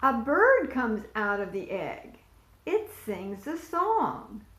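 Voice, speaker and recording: A woman reads a picture book to small children at a very slow, deliberate pace, her fairly high voice rising and falling with lots of expression. She stops to ask questions and point at the pictures. The room is echoey. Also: sing-song, a bit bright. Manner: asks young listeners questions and points to pictures. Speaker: volunteer storyteller. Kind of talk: picture-book read-aloud for preschoolers.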